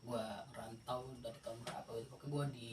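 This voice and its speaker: A man's voice: mid-range voice, level tone, fast. A middle-aged man from Indonesia with a Jakarta accent, hosting a casual podcast chat, laughing often and summing up his guest's answers. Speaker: podcast host. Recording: echoey room, distant mic, background noise.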